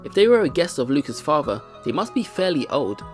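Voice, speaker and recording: A let's player reads story narration from a visual novel. The pitch is medium with lively, swinging intonation. Soft game music plays underneath. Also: a little fast. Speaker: let's play host.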